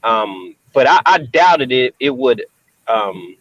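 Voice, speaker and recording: Man guest on a lo-fi dry call feed, halting and thinking aloud. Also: mid-range voice, very animated, a bit bright, no room sound. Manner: hesitant, many ums and restarts. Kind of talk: casual video call chat.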